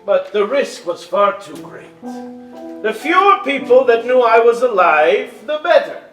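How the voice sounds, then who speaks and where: A man's voice: very high-pitched, very animated, unhurried, fairly bright tone, full character voice. A man playing a grave, kindly wounded king in a staged reading of a stage musical. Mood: grave, apologetic. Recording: echoey stage with music behind.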